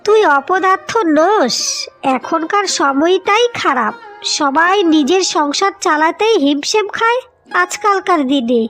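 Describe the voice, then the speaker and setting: Woman as high-voiced consoling old cartoon mother. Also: lively intonation, unhurried, a bit bright, faint music behind, heavily acted. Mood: sad, consoling. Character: consoling elderly mother of a grown son. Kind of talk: cartoon voice acting.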